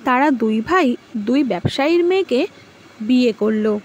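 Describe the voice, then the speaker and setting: A woman reading scripted storybook narration for an animated moral tale. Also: high voice, moderate pace.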